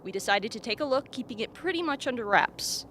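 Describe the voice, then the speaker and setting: Woman acting bright-voiced, matter-of-fact expedition scientist. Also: a little high, expressive, fairly quick. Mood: explanatory. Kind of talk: radio drama acting. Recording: faint background noise.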